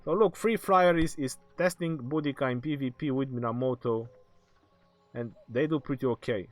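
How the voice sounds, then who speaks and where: A man hosting a live game stream, reading viewers' questions aloud and answering them casually, his voice going hoarse. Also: mid-range voice, moderate pace. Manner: reads viewer questions aloud then answers, laughs often. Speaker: game livestream host. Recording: faint background music.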